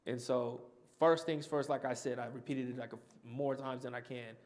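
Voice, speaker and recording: Panelist, male, mid-pitch, off-the-cuff answer that restarts and circles back. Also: steady tone, normal speed, a little mellow. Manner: conversational, restarts and repeats himself. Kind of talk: answering audience question.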